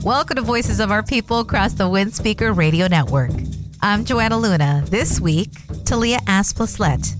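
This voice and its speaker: A woman hosts a radio interview show, welcoming listeners and putting questions to her guest. Her voice is mid-pitched, and music plays clearly beneath her.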